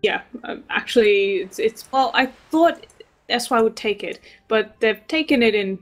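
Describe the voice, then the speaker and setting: A woman's voice: a little high, lively intonation, normal speed, faint music behind. A woman giving live, conversational commentary on a video game match. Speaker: esports match caster.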